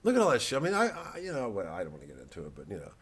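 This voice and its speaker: A man, a comedian, giving a rambling, casual monologue to an online audience in a halting, digressive, self-deprecating way. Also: on the low side, huge pitch swings, husky.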